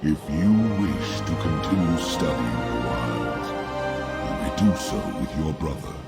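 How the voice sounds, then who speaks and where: An actor playing a stern old demigod teacher in a game cinematic, lecturing in a measured way. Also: male voice, extremely low pitch, expressive, full character voice. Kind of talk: dramatic game cinematic acting. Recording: loud music behind the voice.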